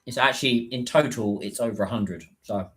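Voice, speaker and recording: A British man talks loosely to viewers on a livestream, his voice sitting at an ordinary middle pitch. He rambles, restarts sentences and fills gaps with "um" and "like". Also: fairly quick, fairly bright tone, soft background music. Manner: rambling, chatty, many fillers and restarts. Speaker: livestreamer and business content creator. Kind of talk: casual livestream chat.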